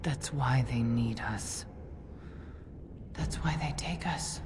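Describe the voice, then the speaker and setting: An actress voicing a haunted, tormented woman in a video game, chanting grimly in a breathy half-whisper. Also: a bass voice, background noise. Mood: haunted, bitter. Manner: slow, chanting, incantatory. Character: haunted, tormented woman reciting grim horrors. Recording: faint music behind.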